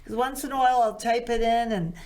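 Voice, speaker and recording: A middle-aged woman with a low, soulful voice, a singer chatting as an interview guest, rambling and backtracking as she talks. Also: fairly even intonation, quick pace, darkish tone. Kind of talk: casual interview chat.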